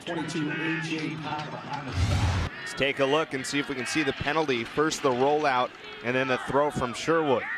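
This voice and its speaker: A man's voice: mid-range voice, steady tone, normal speed, pauses often, music in the background, crowd noise. A young man doing television play-by-play commentary of a football game. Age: young adult. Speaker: play-by-play announcer. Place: sports broadcast at an outdoor stadium.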